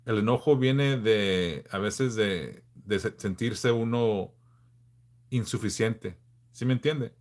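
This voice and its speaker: A man in his forties from Southern California, hosting a casual livestream and answering viewers in a frank, laid-back way with halting restarts. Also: on the low side, a little mellow. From Los Angeles, California. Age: 40s. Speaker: livestream host.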